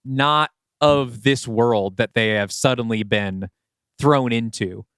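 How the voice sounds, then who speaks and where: A man's voice: medium pitch, slow pace, a bit bright, smooth, dry recording, clean studio sound. A man chatting casually as a podcast host, with a wry way of putting things. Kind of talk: casual chat.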